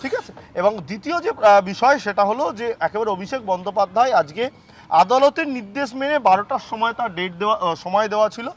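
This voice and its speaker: A man with a West Bengal accent giving a halting, repetitive live news update as a field reporter. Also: sits high, animated, soft background music.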